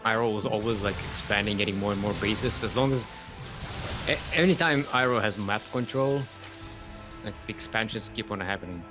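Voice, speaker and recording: A young man with a Singaporean accent, casting a live strategy game match in an animated, chatty way with frequent laughs. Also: fairly low pitch, brisk, music in the background. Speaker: esports caster.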